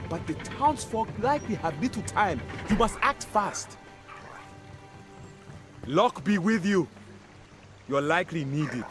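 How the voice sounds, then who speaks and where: A grim, embittered guard of a troubled town. His fairly high voice moves widely as he broods with dramatic earnestness. Fantasy game music sits behind him. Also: lively intonation, measured pace, pauses often, fairly bright tone. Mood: grave, urgent. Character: grim, embittered male city guard, loyal to townsfolk. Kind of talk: fantasy video game voice acting.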